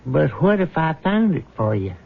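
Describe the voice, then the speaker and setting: An actor playing a sly, conniving small-town crook in a radio drama, with slangy, insinuating talk. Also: male voice, a little high, big pitch swings, moderate pace, creaky, phone-quality sound, full character voice. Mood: scheming. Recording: thin band-limited old radio recording.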